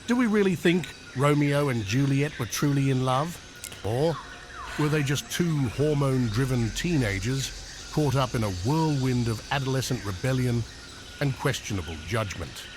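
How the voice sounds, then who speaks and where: A man's voice: mid-range voice, lively intonation, normal speed, background noise, close to an everyday voice. A man voicing a smug male narrator who mocks the audience with rhetorical questions. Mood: mocking. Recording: crickets chirping behind.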